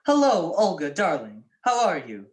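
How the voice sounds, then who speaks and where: A woman's voice: lowish voice, huge pitch swings, moderate pace. A young woman acting a suave, flippant male detective husband in a 1940s-style radio drama, with theatrical delivery. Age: young adult.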